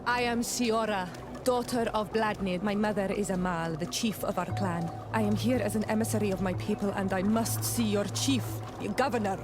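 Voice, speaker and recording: A woman's voice: mid-range voice, steady tone, moderate pace, hardly any pauses, over music, close to an everyday voice. A woman playing a proud young native clanswoman in a video game, speaking formally and insistently as her clan's emissary.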